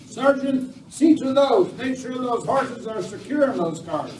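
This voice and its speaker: A man acting a brisk army major barking orders in a western radio play. His voice is very high-pitched, set back from the mic in a roomy space with some noise. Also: animated, darkish tone. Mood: brisk, commanding. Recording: roomy distant live recording with noise.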